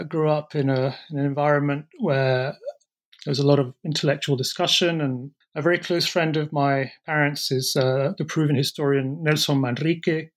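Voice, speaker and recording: A middle-aged man talks about his path into history during an interview. His fairly high voice carries a reflective, measured manner, with small pauses as he works through his thoughts. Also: fairly bright tone. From born in Peru, raised in France and the UK. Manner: thoughtful, reflective, slightly hesitant phrasing. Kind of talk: conversational interview answers.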